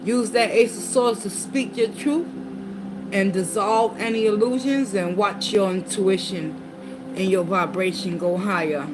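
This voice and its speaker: Woman tarot reader, mid-pitched, reads card meanings with casual asides; noisy background. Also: steady tone, a little slow. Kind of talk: casual tarot reading to viewers. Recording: noticeable background noise.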